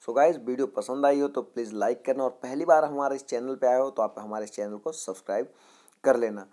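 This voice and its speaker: A man's voice: medium pitch, a little fast, mellow. A man with an Indian accent hosting a casual voice-over app tutorial. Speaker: host of a tech tutorial channel.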